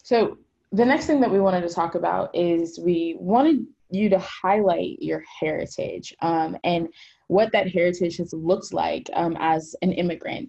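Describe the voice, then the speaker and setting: Low gravelly-voiced woman interviewer. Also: dark tone, lo-fi sound. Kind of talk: interview questions.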